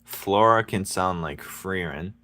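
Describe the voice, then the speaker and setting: Deep-voiced man in playful chat. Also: slow pace, sharp tone, dry recording. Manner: playful, laughs often, banters with chat. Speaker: streaming artist. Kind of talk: casual chat while drawing.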